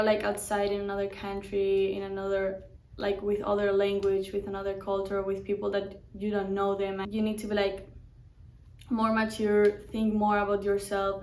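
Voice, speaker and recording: A woman's voice: mid-range voice, darkish tone. A young woman from Spain, a Spanish native speaker and boarding school student, answering in simple English with frequent "like". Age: young adult. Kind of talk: casual interview answers. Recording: echoey room, mic at a distance.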